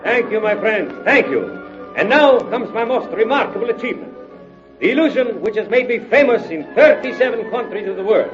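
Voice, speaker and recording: An actor playing a grandiose, courtly stage magician in an old-time radio drama, pompous and theatrical in formal phrases. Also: male voice, sits high, lively intonation, fairly quick. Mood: grand. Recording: dull old radio recording, music behind.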